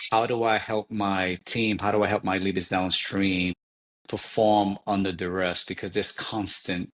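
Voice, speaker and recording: A man in his forties from New York City, talking in an interview as a leadership advisor, measured and reflective. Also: fairly low pitch, a bit bright. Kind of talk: interview conversation.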